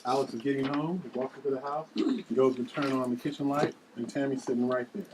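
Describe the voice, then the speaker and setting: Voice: medium pitch, steady tone, a little fast. Table-read narrator with faint background noise. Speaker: stage-direction reader.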